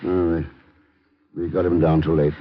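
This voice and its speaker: An actor playing a shrewd, cool intelligence agent in an old radio drama, clipped and matter-of-fact. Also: male voice, low voice, little pitch movement, normal speed. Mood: grave. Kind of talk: 1950s radio drama acting. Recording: muffled 1950s radio recording.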